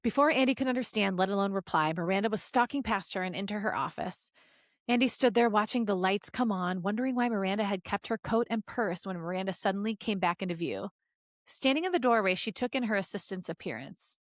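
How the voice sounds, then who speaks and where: A woman podcast host reading fan fiction aloud as an expressive story narrator. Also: medium pitch, a little fast, dry recording, clean studio sound.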